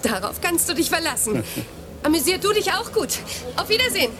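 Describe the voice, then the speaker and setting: A woman playing a breezy, hurried mother in a German radio play, speaking briskly. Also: very high voice, sing-song. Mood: flirty, cheerful.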